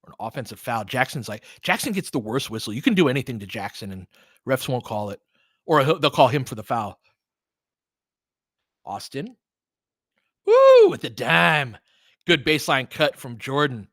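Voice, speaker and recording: Excitable man calling basketball, wildly varied pitch. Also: moderate pace, long pauses, dry recording, studio quality. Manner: excitable, whooping and swearing, partisan. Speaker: play-by-play commentator and host. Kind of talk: informal fan live basketball commentary.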